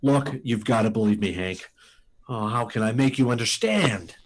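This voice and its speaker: An actor playing a desperate, insistent rocket pilot in an old-time radio science fiction drama, speaking in halting, urgent bursts. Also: male voice, mid-range voice, natural voice. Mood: pleading.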